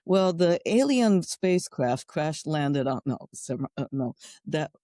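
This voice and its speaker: Japanese-accented woman, mellow dry voice. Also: sits low, expressive, unhurried, no echo. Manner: thoughtful, wry, list-like phrasing. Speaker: guest sexologist and educator. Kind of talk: conversational interview answers.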